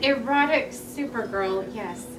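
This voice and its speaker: A woman's voice: on the high side, measured pace, natural voice. Woman playing a sheepish young comic artist, echoey room. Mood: dry, confessional. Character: vulnerable young woman comic artist confessing an embarrassing story. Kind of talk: table read of a comedy script. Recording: roomy, distant mic, noisy room.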